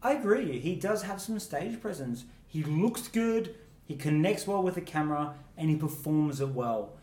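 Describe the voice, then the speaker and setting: A chatty man with a slightly high voice, talking fondly and laughing often as he co-hosts a casual review show. He sits back from the mic in a room with a lot of echo. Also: lively intonation, normal speed, a little mellow, far from the mic. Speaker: co-host. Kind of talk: casual chat reviewing song contest entries.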